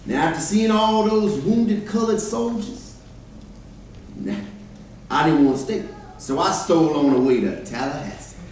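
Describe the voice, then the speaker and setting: A man performs a formerly enslaved man telling his story in plainspoken, folksy dialect. His voice sits high and comes from far off in a very echoey hall, with faint noise around it. It is delivered as a stage monologue. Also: expressive, measured pace, darkish tone. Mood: animated recollection. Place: church hall, recorded from the audience area. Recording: distant mic in echoey hall, background noise.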